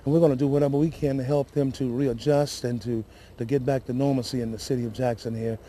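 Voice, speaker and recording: A shaken, weary man speaking haltingly and earnestly in a live news interview, often repeating himself. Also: medium pitch, fairly even intonation, warm tone, a little hiss or hum. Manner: halting, repetitive, emotional and earnest. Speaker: city councilman giving interview.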